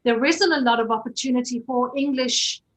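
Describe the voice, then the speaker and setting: A woman's voice: sits high, brisk, slight background noise. Chatty woman hosting an interview. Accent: slight non-native accent in Hebrew. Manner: warmly enthusiastic, chatty. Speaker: interview host. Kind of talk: conversational interview.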